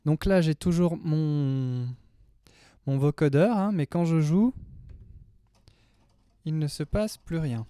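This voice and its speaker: A man's voice: a little high, takes it slowly, long pauses, dark tone, clean tone, dry recording, clean studio sound. A man in his thirties giving a casual, chatty, slangy tutorial as a music production tutor. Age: 30s. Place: small home studio indoors.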